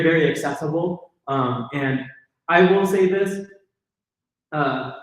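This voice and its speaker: A man answering an audience question at a lecture, earnest and enthusiastic, thinking aloud with fillers. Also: medium pitch. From California, USA. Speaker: panelist. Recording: very echoey hall.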